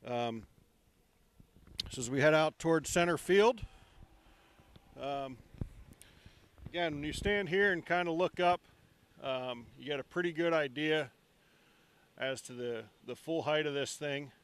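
A man's voice: on the high side, measured pace, pauses often, clean tone. Man touring a site, handheld mic. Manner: relaxed, many ums, walking while talking. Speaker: project guide giving a site tour. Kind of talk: casual walking tour. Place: outdoor construction site at a ballfield. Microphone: handheld microphone.

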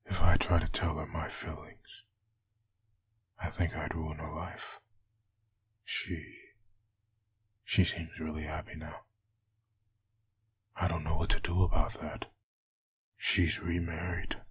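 A man playing a brooding, heartbroken undead soldier in a comic dub, very husky and breathy, somber and halting. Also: rumbling low, full character voice. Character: brooding, heartbroken dead soldier turned hellspawn.

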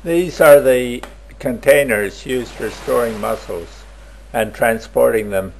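Male narrator, unhurried and plain, amateur travel video voice-over, mid pitch. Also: gravelly, slight background noise. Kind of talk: slow scripted travel voice-over.

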